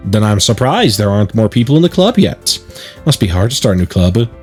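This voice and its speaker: A man's voice: lowish voice, big pitch swings, a little fast, darkish tone, breathy edge, faint music behind. A man voicing a curious, slightly unsure teenage schoolboy in a visual novel, casual and questioning. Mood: surprised. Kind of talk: reading visual novel dialogue and inner thoughts.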